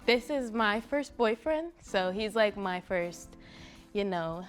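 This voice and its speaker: A woman's voice: mid-range voice, lively intonation, slow, faint music behind. A woman in her early twenties chatting casually in a playful, slangy way. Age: early 20s. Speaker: dating show contestant. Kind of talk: casual chat.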